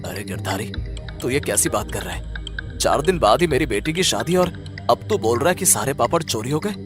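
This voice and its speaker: A man's voice: mid-range voice, lively intonation, moderate pace, music in the background, close to an everyday voice. A man voicing a stern, angry village headman in a cartoon story, commanding and accusing. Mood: displeased, stern. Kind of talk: Hindi cartoon story acting.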